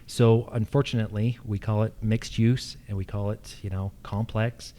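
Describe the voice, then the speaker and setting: Man, American accent, warm relaxed tone, lowish pitch, explanatory, candidate forum answers. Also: normal speed. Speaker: incumbent candidate for local assessor.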